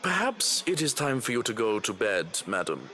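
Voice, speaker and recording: Prim, animated mansion doctor. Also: male voice, mid-range voice, moderate pace, noisy background. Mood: condescending. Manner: prim, formal, condescending. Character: formal, condescending doctor serving a mansion. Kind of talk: visual novel voice acting.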